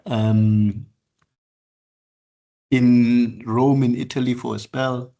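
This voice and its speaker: Halting older man giving a lecture. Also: mid-range voice, steady tone, warm tone, muffled recording. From southern Africa. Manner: measured, halting, many fillers and restarts. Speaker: architect lecturer. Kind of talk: online practice lecture.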